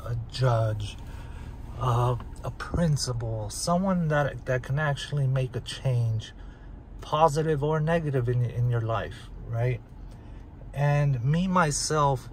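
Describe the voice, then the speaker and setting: A man in his thirties, born in the USSR and raised in Hollywood, talking bluntly and with plenty of profanity while driving at night. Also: medium pitch. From born in the USSR, raised in Hollywood, California. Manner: blunt, profane, conversational, lots of "man" and "right?". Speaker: vlogger. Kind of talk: casual monologue while driving. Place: inside a car driving at night on city streets. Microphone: phone or camera mounted on the dashboard. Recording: loud car and road noise.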